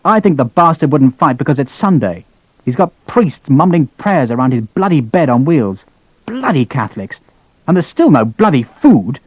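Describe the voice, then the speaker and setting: A man's voice: mid-range voice, sing-song, moderate pace, slightly rough, dry recording, lo-fi sound. A man voicing a furious, exhausted British cavalry colonel who rants and curses. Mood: bitter. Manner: ranting, shouting, cursing.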